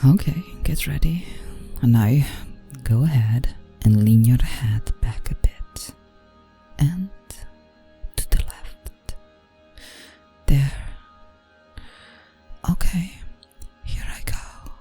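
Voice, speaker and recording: A woman voicing a genteel, doting vampire aristocrat in an ASMR roleplay, soft and breathy, coaxing, often sinking into a whisper. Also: a bass voice, expressive, very slow, long pauses, faint music behind, full character voice.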